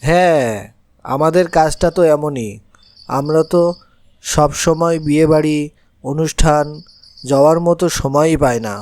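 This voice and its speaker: Guy doing a spooked cartoon policeman, pretty high voice, eager to be believed, very deferential. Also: fairly even intonation, unhurried, pauses often, darkish tone, no room sound, natural voice. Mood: wary. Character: junior policeman on night patrol, deferential, easily spooked. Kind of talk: horror cartoon voice acting.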